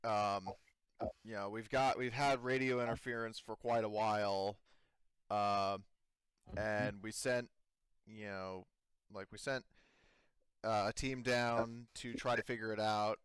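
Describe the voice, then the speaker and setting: Male game master, low and clean voice, halting improvised narration, close dry sound. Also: fairly even intonation, a bit bright, clear, resonant tone, no echo. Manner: halting, searching for words.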